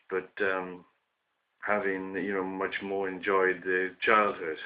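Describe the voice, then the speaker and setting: A man from the UK giving his opinions in a casual video monologue, hoarse from a chest infection and hesitating often with ums and restarts. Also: sits low, phone-quality sound. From United Kingdom. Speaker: vlogger.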